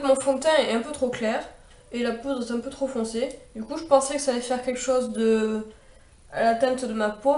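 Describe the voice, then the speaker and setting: A woman's voice: a little high, darkish tone, echoey room. Young woman with a stuffy cold voice. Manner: chatty, meandering, many fillers and restarts. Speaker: beauty vlogger. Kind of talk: makeup tutorial voice-over.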